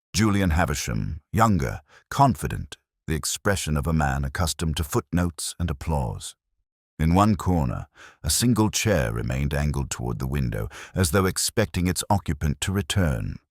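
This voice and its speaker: Male narrator, very low register, dry close sound, measured literary delivery for detective fiction with wide melodic movement. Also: no room sound. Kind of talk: scripted first-person detective story narration.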